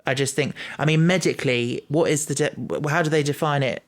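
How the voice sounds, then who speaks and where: A man's voice: a little high, fairly quick. A man co-hosting a casual podcast chat, thinking aloud with many restarts and stumbles. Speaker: podcast co-host.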